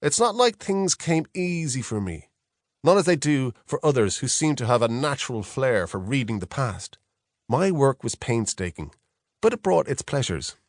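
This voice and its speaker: Male audiobook narrator, mid-pitched, expressive range, measured first-person storytelling. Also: no room sound, studio quality. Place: close, dry studio.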